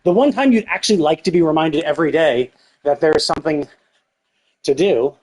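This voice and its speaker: A man livestreaming a video game with casual, sweary, exasperated commentary in a husky voice. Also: a little high, brisk, a little mellow, poor audio.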